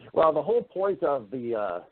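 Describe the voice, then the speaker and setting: A man's voice: on the high side, big pitch swings, measured pace. A man chatting casually as an interview guest, talkative and given to explaining things at length in anecdotes. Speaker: interview guest, voice-over artist and actor. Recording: narrow, lo-fi phone-like line.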